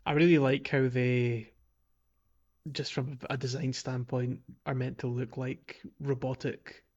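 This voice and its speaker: A man with a medium-pitched voice talks casually as a podcast host. His delivery is conversational and somewhat halting, as if he is working out each thought while he speaks. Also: level tone, a little mellow, highs cut off. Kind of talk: casual video game discussion.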